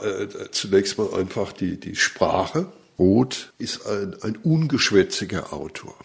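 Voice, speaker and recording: A man with a low, gravelly voice who speaks deliberately, weighing his words. He is a guest answering the host in a conversation. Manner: deliberate, hesitant start. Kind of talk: conversational interview.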